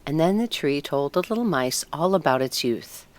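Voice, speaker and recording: A woman narrating a scripted storybook tale in an expressive, deliberate storytelling manner. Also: low-pitched. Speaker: audiobook narrator.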